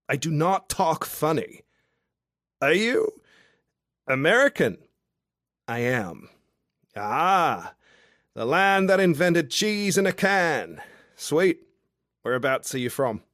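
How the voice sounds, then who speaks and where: A man's voice: fairly high pitch, sing-song, slow, long pauses, fairly bright tone, no echo, clean studio sound, voice pushed up, heavily acted. A man doing a brash, tipsy Aussie bloke. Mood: teasing. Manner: brash, teasing, sing-song. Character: cocky, tipsy young Aussie man from the outback.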